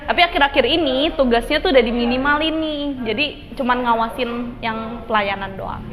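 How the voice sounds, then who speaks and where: A woman's voice: a little high, moderate pace, a bit bright. A woman in her twenties, a church live-streaming volunteer, talks about her role in a casual, chatty way. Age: 20s. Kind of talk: casual testimony interview. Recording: clear background hum.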